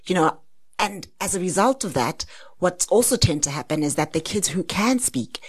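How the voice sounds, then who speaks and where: Low-voiced woman, dry sound. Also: lively intonation, normal speed, breathy edge, no room sound. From South Africa. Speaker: podcast co-host. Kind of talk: casual conversation.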